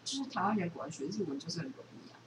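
Woman, Taiwanese accent, lowish voice, quick rambling casual chat, slightly noisy recording. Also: sing-song, echoey room. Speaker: podcast host. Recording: distant mic with faint background noise.

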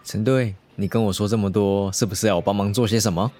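A man playing a quick-witted, inquisitive junior police detective in a radio drama. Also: lowish voice, steady tone, a little mellow, lightly acted. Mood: curious, casual.

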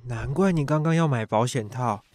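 一名男演员在广播剧里饰演天真迟钝、随和亲人的年轻少爷，语气真诚。. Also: male voice, mid-range voice, moderate pace, a little mellow, slight background noise, lightly acted. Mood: resigned, casual. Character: naive, oblivious, boyish young master, affectionate and easygoing. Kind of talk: Chinese audio drama acting.

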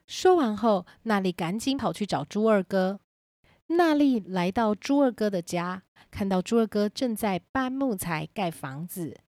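A woman's voice: medium pitch, animated, a little slow, darkish tone, dry recording, clean studio sound. A woman narrating a scripted children's story.

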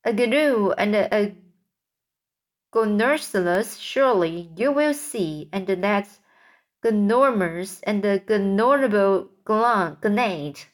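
A woman doing a boastful, excitable centipede. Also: mid-range voice, slow pace. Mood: excited. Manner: sing-song rhyming recital.

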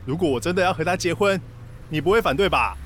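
An actor playing a short-tempered, defensive young boyfriend in a radio drama, with a Taiwanese accent and a taunting delivery. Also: male voice, a little high, animated, quick pace, a bit bright, a little hiss or hum. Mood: smug, cool. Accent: Taiwanese Mandarin.

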